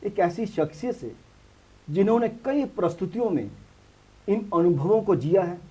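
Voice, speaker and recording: A man's voice: sits high, animated, normal speed, mellow, slightly rough. A man hosting a studio interview, often affirming and echoing his guest. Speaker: interviewer, programme host.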